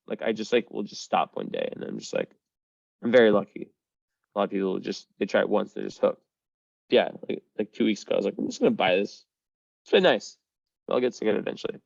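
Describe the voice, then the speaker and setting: A man's voice: lowish voice, gravelly, darkish tone, no echo, dull audio. A young man giving a casual astrology reading, rambling with lots of fillers, restarts and the odd swear. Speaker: astrology reader. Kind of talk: casual one-on-one chart reading.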